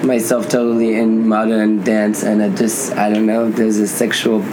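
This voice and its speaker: A man's voice: on the low side, completely flat delivery, moderate pace. A man from the south of France with a French accent, chatting casually as a guest in a hesitant, playful way. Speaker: guest, dancer. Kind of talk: casual interview chat. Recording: clearly noisy room ambience.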